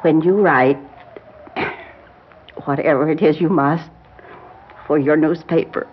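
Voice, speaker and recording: A woman's voice: low voice, fairly even intonation, takes it slowly, a little hiss or hum. An actress playing a proud, grieving old grandmother in a 1960s American radio drama, speaking formally and emphatically. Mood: grieving, pleading. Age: older. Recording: dull vintage radio recording.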